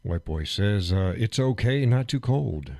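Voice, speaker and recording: A man hosting a live stream, chatting casually and rambling with fillers and chuckles, phlegmy and clearing his throat. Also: on the low side, animated, a little slow, darkish tone, no room sound. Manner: rambling, chuckling, thinks aloud with many fillers. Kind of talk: casual chat reading viewer comments.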